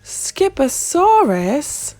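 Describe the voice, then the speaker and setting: A bossy, scolding mother cat, as a woman puts her on, loud and commanding, her voice climbing high as she gives orders. A storybook read-aloud with slow, swooping delivery. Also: very animated, faint music behind, exaggerated voice.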